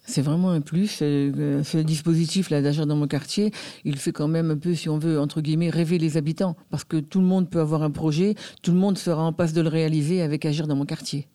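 A man's voice: fairly high pitch, steady tone, fairly quick, mellow. A man answering interview questions about a neighbourhood scheme, hesitating often with fillers. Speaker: local committee member.

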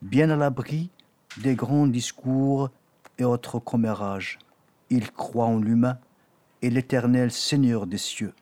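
A man's voice: medium pitch, steady tone, slow pace, dark tone. A man from Algeria, a journalist and poet whose first language is not French, answering in an interview with many hesitations and reading a poem. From Algeria (Kabylie). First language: Kabyle or Arabic, not French. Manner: hesitant, many fillers and restarts.

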